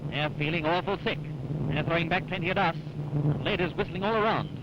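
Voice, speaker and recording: High-pitched wartime newsreel man, dull old recording. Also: fairly quick, heavy background noise. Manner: dramatic, punchy, rousing delivery. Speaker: newsreel narrator. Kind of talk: 1940s wartime newsreel narration. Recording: dull vintage newsreel soundtrack with music. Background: music.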